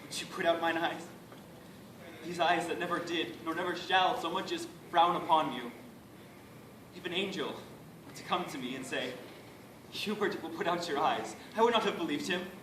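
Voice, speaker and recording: A man's voice: on the high side, normal speed, long pauses, close to an everyday voice. Man playing a pleading young prince, echoey room. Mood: pleading, wounded. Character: gentle young prince pleading with his jailer. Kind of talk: amateur Shakespearean stage monologue. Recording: echoey, noisy room, distant microphone.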